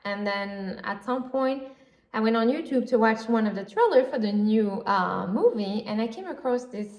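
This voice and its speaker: A woman's voice: fairly high pitch, lively intonation, normal speed, a little mellow. A woman hosting her own podcast, talking casually and gushing with lots of fillers. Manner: chatty and gushing, with frequent fillers and laughs. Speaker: podcast host. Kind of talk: casual solo podcast chat. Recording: roomy echo.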